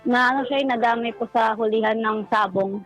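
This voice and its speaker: A woman's voice: a little high, fairly quick, rough recording. Polite Filipino woman caller over music. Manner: deferential, polite. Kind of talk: recounting personal troubles. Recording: background music under the voice.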